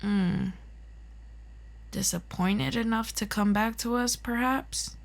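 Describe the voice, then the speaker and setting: A woman's voice: medium pitch, steady tone, slow, creaky. A woman voicing a composed, authoritative interrogator from a hero agency council, formal and dry, in an audio roleplay. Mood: cool, probing.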